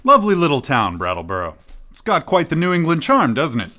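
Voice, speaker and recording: A polite, inquisitive professor in a radio play. His voice is bright and forward, fairly high, with very lively intonation. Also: brisk, exaggerated voice. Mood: pleasant, curious.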